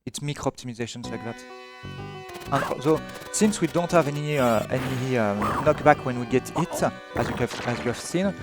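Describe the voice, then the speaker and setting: A young Frenchman with a French accent talks through a live speedrun, hesitant and full of fillers as he plays. His voice sits at a middle pitch. Clear game music plays under his talk. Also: normal speed. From France. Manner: hesitant, many fillers and restarts. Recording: game music playing behind.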